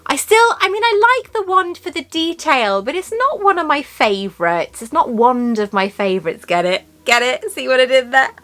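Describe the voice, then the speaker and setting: A woman's voice: sits high, animated, normal speed, hardly any pauses, a bit bright. A woman with a Welsh accent hosting a chatty unboxing vlog, rambling, gushing and laughing often. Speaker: YouTube host.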